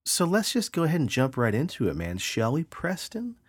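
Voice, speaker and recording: A man in his thirties co-hosting a podcast, a little nasal from a sinus infection, telling animated stories with swearing and laughter. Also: fairly high pitch, brisk, slightly rough. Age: 30s. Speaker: podcast co-host. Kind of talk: casual chat.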